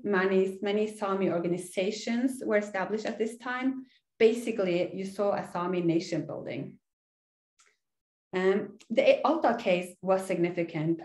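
A woman's voice: mid-range voice, darkish tone, roomy sound. A middle-aged woman with a Norwegian accent gives a recorded online lecture over slides, earnest and explanatory, with frequent "uh" fillers. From Norway. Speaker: university lecturer. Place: small indoor room.

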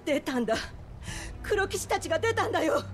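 A distressed innkeeper pleads in a very high, urgent voice. Her wording is plain and earthy, in a video game drama with faint music behind her. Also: expressive, fairly quick. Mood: frantic, tearful. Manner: urgent, rough plain-folk phrasing.

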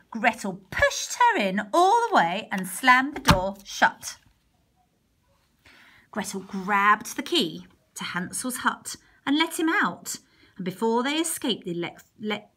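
A woman reading a picture book aloud to young children, expressive, with chatty exclamations and questions along the way. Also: medium pitch. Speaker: primary teacher reading a storybook to children. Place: indoors, picture book held over a wooden table.

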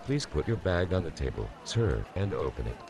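A man's voice: sits low, big pitch swings, normal speed. A man playing a stern, politely official airport security guard in an audio drama, clipped in manner. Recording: airport crowd behind.

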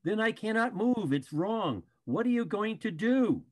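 Older man acting an animated, imploring panda bear. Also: high-pitched, a little slow, full character voice. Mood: firm protest. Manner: earnest, imploring. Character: pleading, patient panda bear, peacemaker. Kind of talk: dramatic play reading. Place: video call.

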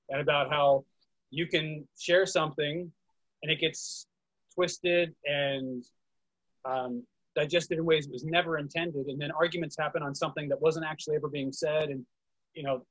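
A man's voice: on the high side, a bit bright, no room sound, low-quality recording. A man moderating a casual group discussion over a video call, speaking as the host. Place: indoors, online video call. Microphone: video call microphone.

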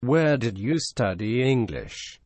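A man reading short English phrases for learners, slow and clearly spaced. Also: medium pitch, expressive, darkish tone, dry recording.

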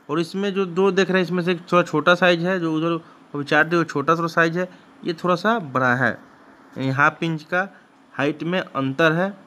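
A man's voice: a little high, fairly bright tone. A man, hosting his own channel and casually narrating footage from his goat shed in a rambling, repetitive way with frequent restarts. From Bihar, India. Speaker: goat farmer and channel host.

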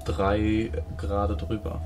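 A man's voice: fairly low pitch, monotone, slow, background noise. A man playing a tabletop role-playing game, talking casually about his character and dice rolls.

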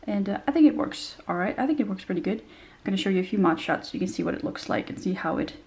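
A woman's voice: medium pitch, expressive, fast, a little mellow. Chatty young woman, slightly nasal. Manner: chatty and descriptive, many fillers. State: slightly nasal after a cold. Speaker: bag reviewer. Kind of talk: casual product review. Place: small indoor room.

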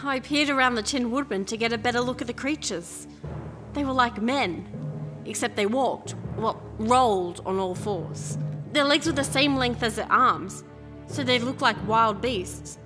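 Woman acting a plucky young princess, highish voice, chatty and wry, audio drama, music underneath. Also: a little slow, close to an everyday voice. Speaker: lead actor and narrator. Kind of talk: audio drama, first-person narration and dialogue.

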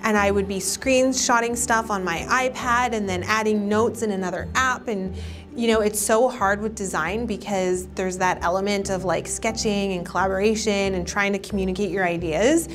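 An upbeat woman in her thirties hosts a casual vlog. Her voice sits at a middling pitch and she stresses her words, with music playing clearly behind her. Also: steady tone, moderate pace. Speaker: vlog host and interior designer.

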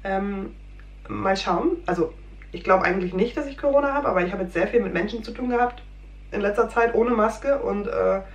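A woman's voice: medium pitch, moderate pace, lo-fi sound. A woman in her thirties chatting casually in her home kitchen, rambling with many fillers and sounding a little under the weather. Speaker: food vlogger. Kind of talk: casual chat. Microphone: fixed camera at desk distance. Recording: roomy kitchen with faint hum.

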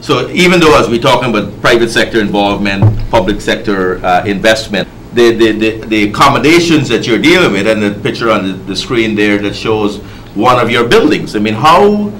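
Faint background noise, man, slightly low pitch, talk show host, conversational interviewing. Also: lively intonation, normal speed, fairly bright tone, poor audio.

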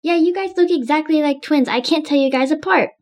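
A woman plays a friendly, curious housemate in a video game roleplay. Her voice is clearly high, and she talks in a casual, chatty way. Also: brisk, a little mellow, natural voice. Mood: welcoming.

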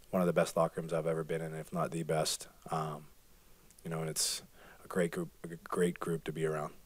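A man in his thirties, a basketball player answering postgame questions at a press conference, soft-spoken and full of fillers. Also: low-pitched, no echo. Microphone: table microphone.

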